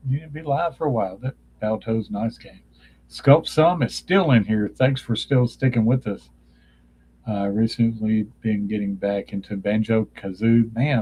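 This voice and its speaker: A man's voice: fairly low pitch, mellow, husky. A man, chatting casually on a live stream from his childhood bedroom. He meanders, often stops to hunt for a name, and his voice is getting dry from talking so long. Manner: meandering, nostalgic, searches for names mid-sentence. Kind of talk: casual rambling chat.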